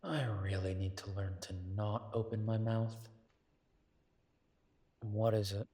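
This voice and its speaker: A man acting an affectionate, world-weary werewolf detective in an intimate audio drama, soft and coaxing with thoughtful pauses. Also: lowish voice, flat delivery, breathy edge, no room sound, exaggerated voice. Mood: hesitant, resigned.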